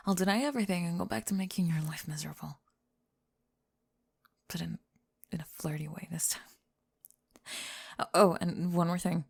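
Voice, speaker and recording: Goth bully girl for ASMR roleplay , female performer: prickly, flustered, halting, secretly smitten, husky with some fry. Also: on the low side, a little mellow, pitched below the performer's own voice. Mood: soft, embarrassed. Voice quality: breathy. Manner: tsundere, defensive, halting, backtracking.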